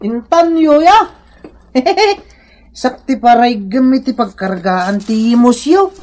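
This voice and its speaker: A woman's voice: a little high, animated, slow, darkish tone. A woman with an Ilocano accent playing a nosy, teasing companion in a comic radio drama, full of exclamations.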